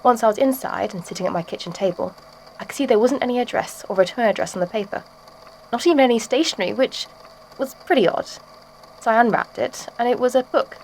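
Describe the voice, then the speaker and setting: A woman's voice: a little high, expressive, quick pace, slight background noise, rough recording. A woman playing a former schoolteacher turned obsessive artist, giving a chatty, matter-of-fact confession in an audio drama. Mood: chatty, wry. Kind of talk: audio drama statement monologue.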